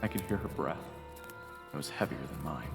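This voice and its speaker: A man's voice: rumbling low, moderate pace, vocal fry, reverberant, big-room sound, faint music behind. A man narrating a dramatic story in the first person as part of an audio roleplay.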